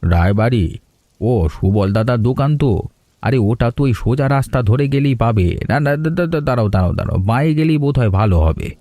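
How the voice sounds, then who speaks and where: A man's voice: medium pitch, expressive, quick pace, mellow, no echo, clean studio sound, natural voice. A man voicing a muddled, kindly, chatty 65-year-old villager in a cartoon, stammering and repeating himself. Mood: muddled, friendly. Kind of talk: cartoon story voice acting.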